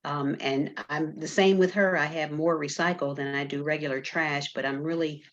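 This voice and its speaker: A woman with a low voice speaks earnestly and agreeably in a group discussion. Her audio is dull and muffled. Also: fairly even intonation, normal speed. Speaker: meeting participant. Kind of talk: casual discussion.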